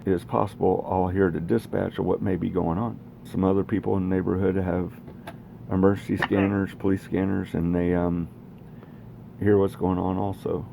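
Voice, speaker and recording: Man, low gravelly voice, rambling, plainspoken, casual gear demo, faint background noise. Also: flat delivery, moderate pace, warm tone. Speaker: radio hobbyist presenting own equipment. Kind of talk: casual equipment demonstration vlog. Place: home garage.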